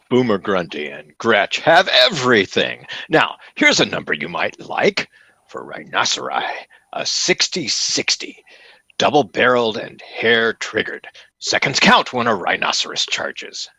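An actor playing a fawning, pompous store salesman in an old-time radio comedy, with effusive, theatrical flattery. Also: fairly low pitch, measured pace, gravelly, husky, no echo, heavily acted. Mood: grand sales pitch.

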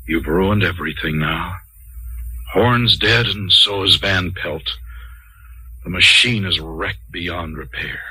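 An actor playing an ambitious, excitable army colonel telling his tale in a 1950s radio drama. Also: sits low, very slow, long pauses, husky, heavily acted, rough recording. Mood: grim, then shocked. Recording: old 1950s radio recording, music behind.

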